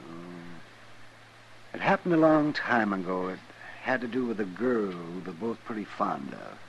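An actor playing a weary, conscientious frontier doctor in a 1950s western radio drama, with a gravelly, halting, folksy delivery. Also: male voice, on the low side, big pitch swings. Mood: remorseful. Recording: muffled, lightly hissy old radio recording.

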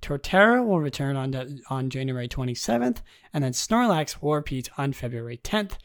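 A young man with a raspy voice hosting his own podcast, talking in a relaxed, rambling way and reading out game news. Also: moderate pace. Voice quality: raspy, low tonight. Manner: relaxed and rambling, many ums and restarts. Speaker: podcast host.

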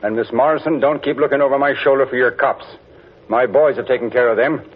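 Muffled old radio sound carries a smug male crime boss played by an actor. His voice is husky and mid-pitched, with clipped, sneering tough-guy menace in 1940s drama style. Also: fast, natural voice. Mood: menacing, impatient. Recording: dull, lo-fi 1940s radio recording.

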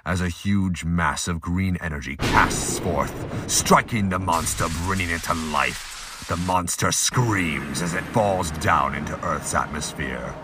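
A man narrates an amateur audio drama in a scripted storybook style. His voice is extremely deep. The recording has clear background noise and clicks. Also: measured pace, few pauses.

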